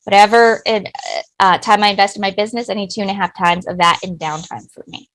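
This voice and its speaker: A woman's voice: mid-range voice, fairly even intonation, quick pace, low-quality recording. A middle-aged woman, a business owner, giving personal, talkative answers in an interview. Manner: personal, talkative, warm-hearted.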